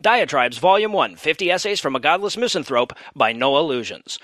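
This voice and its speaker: A man reading a mock advertisement in a brisk salesman's pitch. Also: lively intonation, a bit bright, no room sound, clean studio sound. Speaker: podcast ad announcer. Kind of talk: mock ad read.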